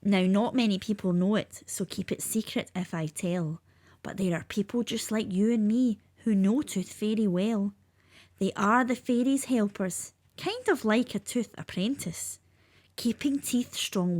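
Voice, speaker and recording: A woman's voice: mid-range voice, darkish tone, no echo. A woman with a lilting, sing-song delivery, reading a rhyming children's story aloud.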